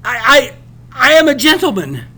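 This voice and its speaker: A man acting a flustered, stammering gentleman, high-pitched. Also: very animated, moderate pace, husky, full character voice. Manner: stammering, impetuous, formal. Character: flustered, indignant gentleman castaway, prim and nervous.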